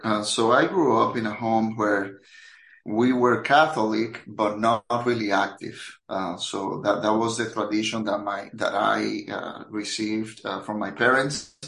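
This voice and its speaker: A man in his fifties from the Dominican Republic, a native Spanish speaker with a Dominican accent, casually telling his story as a podcast guest in a hesitant, halting way, in a roomy echoey recording. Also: lowish voice, vocal fry, fairly bright tone. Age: 50s.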